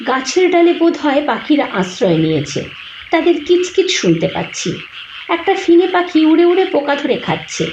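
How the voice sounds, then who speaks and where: A woman storyteller narrating a horror story in a dramatic style. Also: sits high. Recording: roomy, dull sound with clear noise.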